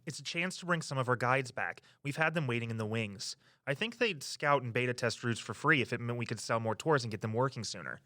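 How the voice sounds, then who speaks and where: An actor playing an upbeat, supportive member of a walking tour team in an audio drama, encouraging and practical. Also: male voice, medium pitch, brisk, bright, forceful tone, dry recording, studio quality, lightly acted. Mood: enthusiastic.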